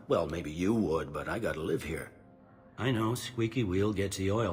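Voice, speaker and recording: An actor playing a blunt, weary, middle-aged security captain on a space station in a video game, speaking in a gruff, wry, plain-spoken way and laughing off questions. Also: male voice, deep voice, lightly acted.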